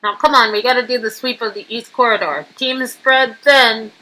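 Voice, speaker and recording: A woman's voice: a little high, steady tone, moderate pace, pushed and bright, rough recording. A woman co-hosting a game read-aloud, performing dialogue in a casual, conversational way. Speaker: co-host reading game dialogue.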